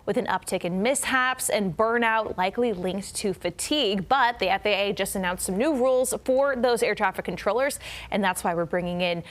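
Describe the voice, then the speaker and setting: A woman's voice: medium pitch, moderate pace. An American woman working as a news anchor, speaking conversationally as she leads a broadcast interview.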